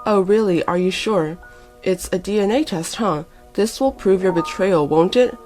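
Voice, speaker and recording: A woman voicing an angry drunken husband in a dramatised story, yelling and accusing. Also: medium pitch, normal speed, soft background music, close to an everyday voice. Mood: challenging.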